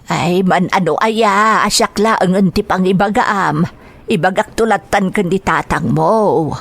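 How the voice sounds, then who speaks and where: A woman's voice: medium pitch, a little slow, gravelly, no room sound. Plaintive, caring elderly mother. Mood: gently dismissive. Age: older. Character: elderly mother and grandmother, caring, with aching knees. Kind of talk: radio drama acting.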